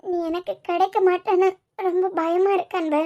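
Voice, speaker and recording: A woman's voice: extremely high pitch, level tone, a little fast, lo-fi sound. A woman playing a tearful young woman in love, pleading with the man she loves. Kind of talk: romantic audio drama acting.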